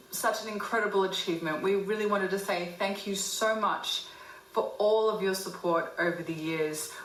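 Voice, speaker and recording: A woman's voice: medium pitch, a bit bright. A woman musician giving a recorded message of congratulations and thanks. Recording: echoey room.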